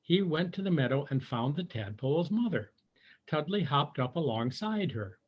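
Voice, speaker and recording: A man's voice: fairly high pitch, very animated, moderate pace, breathy edge, lo-fi sound. A man reading a children's storybook aloud, chatting to his young listeners in conversational asides.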